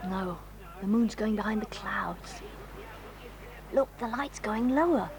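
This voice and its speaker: A brave teenage boy, hushed. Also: medium pitch, takes it slowly. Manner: urgent hushed whispering. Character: brave sensible teenage boy, eldest of the group. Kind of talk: 1980s radio-style audio drama.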